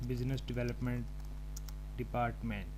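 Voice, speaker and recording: Halting man over noisy recording. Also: mid-range voice, flat delivery. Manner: halting, thinking aloud while typing. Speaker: tutorial presenter. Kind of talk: screen-recorded tutorial, unscripted step-by-step. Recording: home recording with clear background noise.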